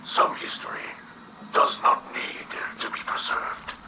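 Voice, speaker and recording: A man's voice: unhurried, reverberant, whispered. A man voicing a solemn, guarded alien warrior father in a video game, speaking formally through creature-like processing. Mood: guarded, grave. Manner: solemn, formal speech without contractions. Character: grave alien warrior father, protective and guarded, burdened by his past. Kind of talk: dramatic video game cutscene acting.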